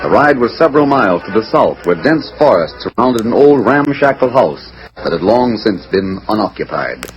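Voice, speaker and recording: A man's voice: lowish voice, sing-song, normal speed, faint music behind. A man narrating a 1940s radio western in a dramatic, suspenseful storytelling manner. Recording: dull vintage radio broadcast recording.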